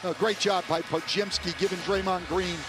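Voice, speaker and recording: A man's voice: high-pitched, steady tone, normal speed. A man giving opinionated, explanatory colour commentary on a live basketball game. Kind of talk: analytical live sports commentary. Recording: broadcast under loud arena noise. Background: loud basketball arena ambience.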